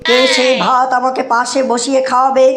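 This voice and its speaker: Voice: high voice, monotone, fairly quick, roomy sound, very noisy, natural voice. An actor voicing a swaggering young village tough in a comic cartoon, declaiming like a folk-theatre hero with a rural Bangladeshi accent. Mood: melodramatic.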